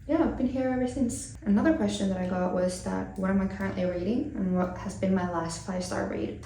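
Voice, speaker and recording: A woman's voice: mid-range voice, dark tone, reverberant, distant mic, a little hiss or hum, low-quality recording. A woman in her twenties from Finland, chatting casually and rambling with fillers while doing her makeup for a vlog. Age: 20s. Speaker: vlogger. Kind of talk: chatty get-ready-with-me vlog.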